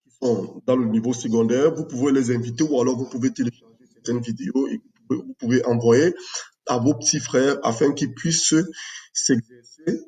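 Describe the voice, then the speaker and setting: Man, math teacher, dark mellow tone, measured, hesitant. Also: medium pitch. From Cameroon. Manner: measured, hesitant with many fillers. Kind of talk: video announcement, unscripted.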